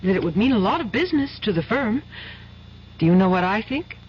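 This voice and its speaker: A gushing adoring fiancée. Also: female voice, mid-range voice, big pitch swings, brisk, slightly rough. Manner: gushing, affectionate. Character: young adoring fiancée, boss's daughter. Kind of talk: 1970s radio drama acting. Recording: dull lo-fi radio broadcast with faint hiss.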